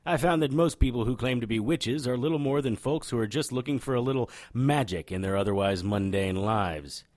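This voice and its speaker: A man's voice: mid-range voice, brisk, darkish tone, lightly acted. A man playing a cocky, wisecracking occult private investigator in an audio drama, wry and irreverent in noir style. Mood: cocky probing. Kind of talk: audio drama dialogue and first-person narration.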